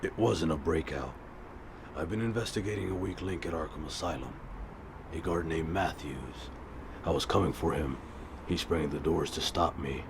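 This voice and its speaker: An actor playing a brooding, stoic vigilante hero in a comic-book audio drama, speaking in a grave, measured, deadpan way. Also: male voice, very deep voice, vocal fry, background noise. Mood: terse, deadpan. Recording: music behind the voice.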